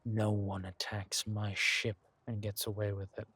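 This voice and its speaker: A man voicing a suave, menacing villain king who is doting with his lover and talks in a smooth, teasing, courteous drawl for a roleplay audio drama. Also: fairly low pitch, monotone, measured pace, sharp tone, exaggerated voice. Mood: controlled, conspiratorial.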